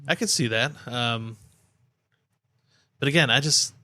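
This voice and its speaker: A man talking casually on a home podcast, with a bright, forceful tone at a middle pitch. He keeps agreeing with quick short replies. The sound is dry and close, with no room echo. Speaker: podcast co-host. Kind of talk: casual sports chat. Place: his home.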